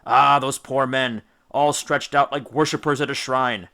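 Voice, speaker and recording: Storybook Greek oarsman, read by a man: loyal, earnest, horrified, bright-edged voice. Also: mid-range voice, steady tone, a little slow. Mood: apologetic, appalled.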